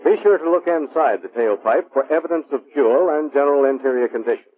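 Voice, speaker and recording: A man's voice: fairly high pitch, animated, fast talker. Muffled vintage American male instructional narrator. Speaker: training film narrator. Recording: muffled, phone-like vintage military film soundtrack.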